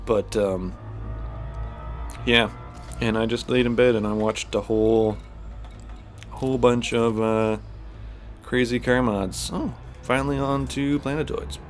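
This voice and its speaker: A man's voice: medium pitch, fairly even intonation, a little slow, long pauses, soft background music. A man hosting a gaming channel, chatting casually while he plays, rambling with lots of fillers and a slightly stuffed-up voice. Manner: rambling, heavy on fillers and restarts. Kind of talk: casual chat while playing a space game.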